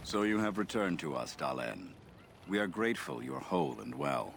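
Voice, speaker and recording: Deep-voiced solemn elven elder. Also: male voice, sing-song, vocal fry, a bit bright, a little hiss or hum, full character voice. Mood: solemn welcome. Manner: solemn, measured, oratorical. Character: old male elf storyteller, grave, gently scolding elder. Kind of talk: dramatic storytelling game dialogue. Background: music.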